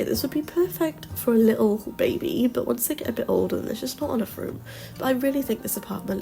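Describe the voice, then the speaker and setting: A woman's voice: a little high, creaky, mellow. A woman with a nasal voice from a cold, rambling chattily with many ums through a casual voice-over over a game build. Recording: music playing behind the voice.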